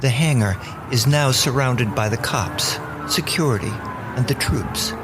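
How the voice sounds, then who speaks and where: A man's voice: medium pitch, unhurried. A man narrating a scripted audio drama as the storyteller. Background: music bed.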